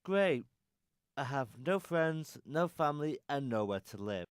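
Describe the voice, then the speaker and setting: An actor playing a frank, downtrodden circus worker in a radio drama, earnest and plaintive. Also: male voice, fairly high pitch, lively intonation, measured pace, clean tone, no echo, clean studio sound. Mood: dejected.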